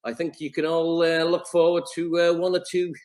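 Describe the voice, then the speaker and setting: A man's voice: fairly high pitch, monotone, normal speed, a little mellow. A man with a North Welsh accent, a YouTuber talking to camera in a wry, rambling, hesitant way. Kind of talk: vlog to camera.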